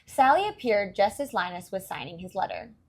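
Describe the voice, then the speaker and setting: A woman's voice: medium pitch, very animated, a little fast. A woman reading a story aloud to young children, expressively, with simple explanations along the way. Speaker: children's story reader and host.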